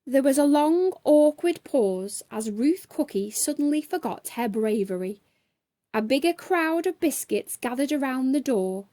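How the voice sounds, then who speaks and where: Slow, expressive woman storyteller. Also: high voice, no echo. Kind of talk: expressive scripted children's bedtime story narration.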